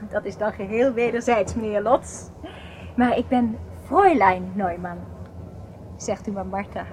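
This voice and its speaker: A woman's voice: mid-range voice, unhurried, a little mellow, lightly acted. An actress playing a polite, chatty young refugee woman in a radio drama. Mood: polite, open. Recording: radio play with clear background noise. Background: train ambience.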